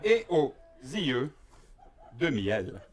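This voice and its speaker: A man from Belgium delivers a live comic monologue on stage. He speaks slowly in a mid-pitched voice, keeping a mock-serious manner and leaving deliberate pauses for effect. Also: sing-song, a little hiss or hum. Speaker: stand-up performer.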